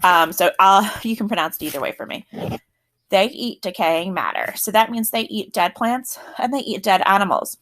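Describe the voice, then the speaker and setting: A woman's voice: mid-range voice, moderate pace, a bit bright, poor audio. A woman teacher with a South Jersey accent giving a recorded science lesson, explaining in a friendly, chatty way and correcting herself as she goes.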